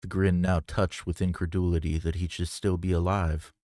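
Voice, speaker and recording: A man reading a novel aloud in a measured, dramatic storytelling manner. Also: low voice, little pitch movement, a little mellow, dry recording, studio quality. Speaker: audiobook reader. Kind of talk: scripted fiction narration.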